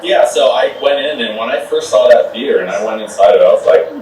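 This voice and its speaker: A man's voice: mid-range voice, animated, a little fast, a little mellow, a little hiss or hum. A man who chairs a preservation commission, giving casual, hesitant public remarks with a self-deprecating manner. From Albuquerque, New Mexico. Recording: echoey hall, distant mic.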